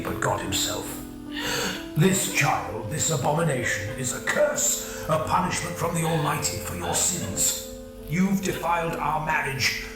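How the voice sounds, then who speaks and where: A man playing a venomous, preaching husband. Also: on the high side. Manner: venomous, preaching, full of religious scorn. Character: bitter, self-righteous husband condemning his wife. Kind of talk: audio drama acting. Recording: very echoey with music underneath.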